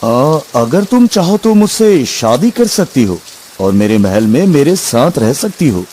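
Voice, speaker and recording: A man acting a slow-spoken, dramatic king. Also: mid-range voice, sing-song, mellow, slight background noise. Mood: curious, courteous. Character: adult male king, troubled, easily alarmed. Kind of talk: acted Hindi moral cartoon story.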